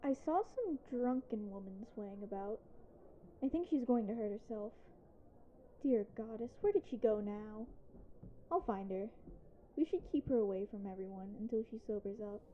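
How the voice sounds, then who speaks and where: A woman acting in an audio drama as a calm, scheming female accomplice. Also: medium pitch, moderate pace, narrow, tinny audio, a little hiss or hum, lightly acted. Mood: feigned concern.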